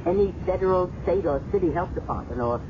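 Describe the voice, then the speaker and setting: A woman playing a low-voiced, calm clinic doctor. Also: close to an everyday voice. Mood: calm, thoughtful. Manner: measured, explanatory. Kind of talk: 1970s radio drama acting. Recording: muffled noisy 1970s radio recording.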